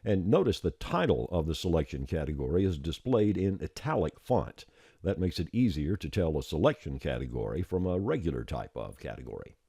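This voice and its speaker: Very deep-voiced man narrating a tutorial. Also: big pitch swings, brisk, creaky, dark tone, breathy edge, no room sound, clean studio sound. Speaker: software tutorial presenter. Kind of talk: step-by-step screencast tutorial narration.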